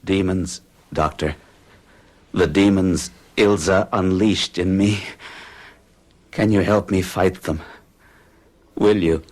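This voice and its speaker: An actor playing a haunted, frightened man who tells his story with halting, dramatic intensity in an old radio horror drama. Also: low voice, fairly even intonation, darkish tone. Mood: frightened, pleading.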